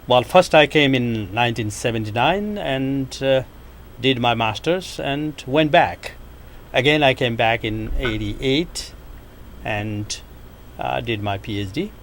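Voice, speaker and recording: A man from Nepal speaks in a university TV studio. He is in his fifties and answers questions in an unhurried, careful way. He pauses often and fills the gaps with hesitations. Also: mid-range voice, slight background noise. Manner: measured, hesitant, with frequent pauses and fillers. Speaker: economics professor, guest.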